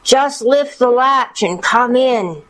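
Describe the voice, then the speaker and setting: A woman's voice: on the high side, lively intonation, fairly bright tone, voice pushed up, low-quality recording. A cunning, hungry storybook wolf, acted out by a woman pretends to be a sweet old granny. The voice is lifted and coaxing, stretching its words with false sweetness. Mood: sly, coaxing. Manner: coaxing, drawn-out, feigned sweetness. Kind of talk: children's storybook character voice.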